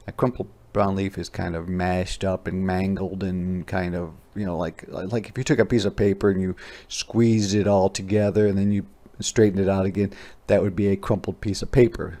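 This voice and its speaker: A man reading a children's story aloud as a teacher, pausing to explain words and ask questions, stretching key words. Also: sits low, creaky, warm tone. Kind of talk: interactive children's read-aloud.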